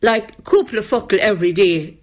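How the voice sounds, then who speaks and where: Older woman, gentle, reflective, halting, mid-pitched. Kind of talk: casual family conversation.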